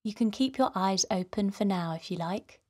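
A woman hosting a guided meditation for children, speaking in a gentle, soothing, softly breathy way. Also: mid-range voice, normal speed. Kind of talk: guided relaxation narration for children.

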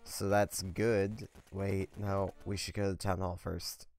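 Young man, hoarse scratchy voice, low-pitched, rambling gameplay commentary. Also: flat delivery, a little slow, a little mellow. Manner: rambling, chatty. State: hoarse, scratchy from a sore throat. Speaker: let's play host. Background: faint game music.

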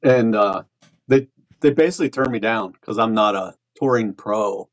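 A man's voice: lowish voice, moderate pace. A man appearing as a guest on a casual podcast over a video call, speaking in a plain, matter-of-fact way. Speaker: podcast guest, tournament angler. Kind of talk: casual interview chat.

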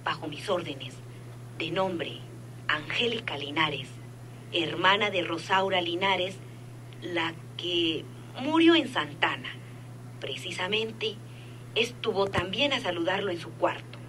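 Bright, low-voiced, deferential female informant. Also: expressive, takes it slowly, a little hiss or hum, full character voice, low-quality recording. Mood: deferential, businesslike. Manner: deferential, precise, businesslike. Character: dutiful female head nurse secretly informing a crime boss. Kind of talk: radionovela acting.

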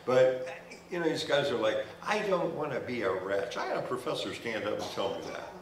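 A man's voice: mid-range voice, animated, moderate pace, noisy background. An older man preaching as pastor on a church stage into a headset mic, conversational with humorous asides. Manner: gestures while walking the stage. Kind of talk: sermon. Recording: roomy church sound from a distance.

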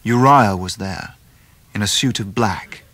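An actor playing a grown gentleman who narrates his own youth with measured, wry delivery in a literary radio drama. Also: lowish voice.

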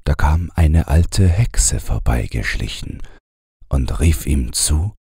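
Male bedtime narrator: extremely low, slow, husky voice reading fairy tales. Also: animated, mellow, dry recording, studio quality. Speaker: audiobook narrator.

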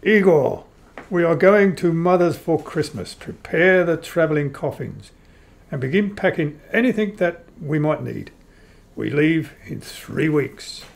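An actor playing a courteous, matter-of-fact vampire count and husband in a radio play. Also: male voice, on the high side, lively intonation, vocal fry. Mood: commanding. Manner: measured, courteous, explanatory. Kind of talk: old-time radio play acting.

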